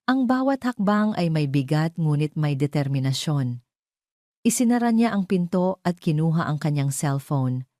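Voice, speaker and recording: A woman storyteller with a low, mellow voice and a dry, close sound. She narrates a dramatic romance in a measured, solemn way. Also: dry recording.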